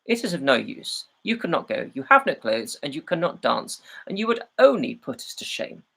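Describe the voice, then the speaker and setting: A man's voice: fairly high pitch, very animated, normal speed, gravelly, bright, forceful tone, breathy edge, pitched above the performer's own voice, full character voice. A man voicing a cruel, scornful stepmother in a storybook, scolding and dismissive.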